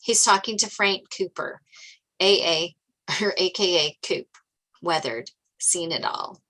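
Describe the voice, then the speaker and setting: A woman's voice: fairly low pitch, unhurried, bright, forceful tone. A woman reading screenplay stage directions as scripted narration.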